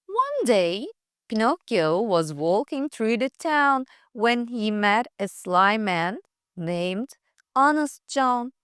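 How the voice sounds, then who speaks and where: A woman reading a scripted children's fairytale aloud for English learners, with careful, deliberate phrasing. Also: on the high side, sing-song, a bit bright, smooth, no echo, clean studio sound. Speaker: storyteller.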